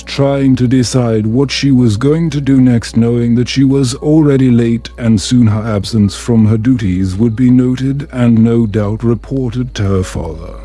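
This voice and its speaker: A man narrating a fantasy bedtime story in a calm, soothing, measured way. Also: medium pitch. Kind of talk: calm scripted fantasy audiobook narration for sleep.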